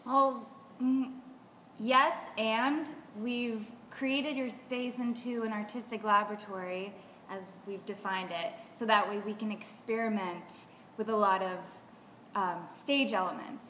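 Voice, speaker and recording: An American woman explaining things as an interview guest, measured and with pauses. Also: medium pitch, steady tone, sharp tone. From Seattle, United States. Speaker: theater artist, interview guest. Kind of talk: casual explanation. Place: artist's studio. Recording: echoey reverberant room, faint noise.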